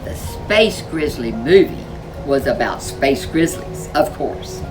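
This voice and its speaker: A woman's voice: rumbling low, expressive, slow, recorded from a distance, rough recording. A woman reading a children's storybook expressively as a storyteller. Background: music.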